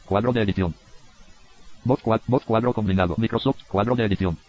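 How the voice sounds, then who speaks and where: A low male text-to-speech voice rattles off menu labels at high speed. It sounds mechanical and clipped, with words often chopped short, and the audio is dull. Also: brisk. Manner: flat, mechanical, often cut off mid-word. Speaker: screen reader. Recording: dull, lo-fi computer audio capture.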